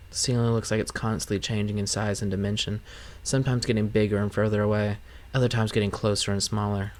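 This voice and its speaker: A man streaming a game, reading story narration aloud and giving casual commentary as host. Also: lowish voice. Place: game playthrough recording with music behind.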